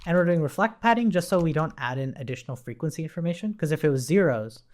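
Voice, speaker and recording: A man's voice: a little high, lively intonation, fast talker, darkish tone. A man giving a casual, step-by-step coding tutorial, thinking aloud and often checking in as he explains. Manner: informal, explanatory, often tags points with "right?". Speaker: programming tutorial instructor.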